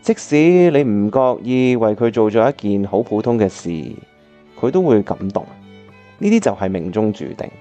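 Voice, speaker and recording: A man's voice: lowish voice, animated, moderate pace, mellow, faint music behind, close to an everyday voice. A man playing an earnest, reflective bachelor in a Cantonese radio drama, with a Hong Kong accent. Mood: heartfelt speech. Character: earnest, reflective bachelor looking back on old love.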